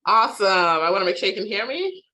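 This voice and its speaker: A woman physician giving an introductory health webinar over a video call, her voice hoarse from a sore throat, conversational with frequent fillers and throat clearing. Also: mid-range voice, big pitch swings, quick pace, pushed and bright. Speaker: physician presenter. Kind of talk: webinar lecture.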